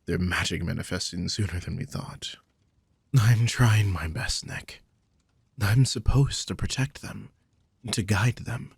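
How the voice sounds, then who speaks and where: A man playing a guilt-ridden, tender supernatural protector in an intimate audio drama, speaking gently and hesitantly with a husky, breathy voice that slips toward whispers. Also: low-pitched, dry recording, heavily acted. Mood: vulnerable, pleading. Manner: gentle, hesitant, sighing delivery.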